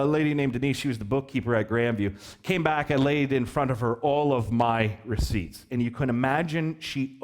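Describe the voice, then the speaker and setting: A man preaching a sermon as pastor, telling a story in an animated, joking way and asking the children questions. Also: medium pitch. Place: church hall.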